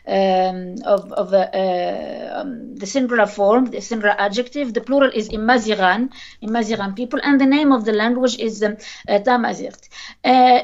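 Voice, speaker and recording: Woman, kinda high voice, lots of pauses looking for words, muffled lo-fi audio. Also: a little mellow. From Tunisia. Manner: hesitant, halting, with many pauses to search for words. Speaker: guest, linguist. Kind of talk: podcast interview. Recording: dull lo-fi recording.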